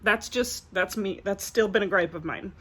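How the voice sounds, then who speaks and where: A woman vlogger who is getting over a cold talks through her thoughts on books in a loose, rambling way, dropping filler words as she goes. Her voice sits at an ordinary middle pitch. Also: moderate pace, fairly bright tone, husky. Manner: chatty, rambling, many filler "like"s. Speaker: book vlogger. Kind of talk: casual book review vlog.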